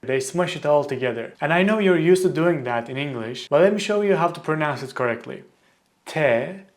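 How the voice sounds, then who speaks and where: Casting: fairly high-voiced Turkish-accented teacher, clear and deliberate. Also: male voice, lively intonation. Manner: clear, deliberate, slows to model pronunciation. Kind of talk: language lesson to camera.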